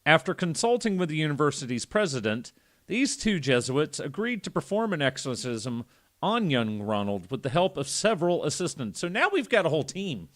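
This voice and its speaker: A man's voice: on the high side, very animated, a little fast, fairly bright tone. A man hosting a podcast, reading a story aloud and breaking off for casual, irreverent, sweary asides. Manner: irreverent, profane. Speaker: podcast host.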